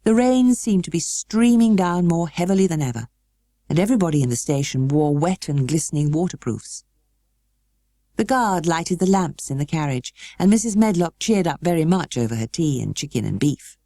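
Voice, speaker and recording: A British woman reading a storybook as narrator, in a measured and expressive way. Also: low voice, no room sound, clean studio sound. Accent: British English. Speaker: audiobook narrator.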